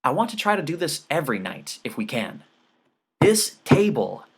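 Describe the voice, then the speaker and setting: A man narrating plays a middle-aged father in an audiobook story. He is fond of his family and quick with a joke. His delivery is eager and earnest. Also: fairly high pitch, sing-song, breathy edge, voice pushed up, exaggerated voice. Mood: cheerful declaring.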